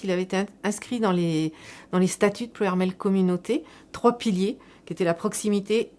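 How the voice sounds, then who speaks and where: A woman speaking as a local elected official, explaining a public project in a measured, semi-prepared interview style with repeated words for emphasis. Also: mid-range voice, darkish tone.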